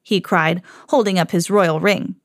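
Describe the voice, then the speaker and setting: A mother hosting her own podcast, reading a children's storybook aloud with lively jumps for the quoted lines. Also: lowish voice, a little slow, no room sound, clean studio sound. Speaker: mom reading to her kids, podcast host.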